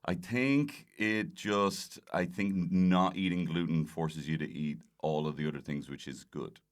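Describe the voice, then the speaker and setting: A man's voice: low voice, a little slow. A man chatting casually as a comedian guest on a podcast, rambling and joking at his own expense. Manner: rambling, jokey, self-deprecating. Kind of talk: casual comic conversation.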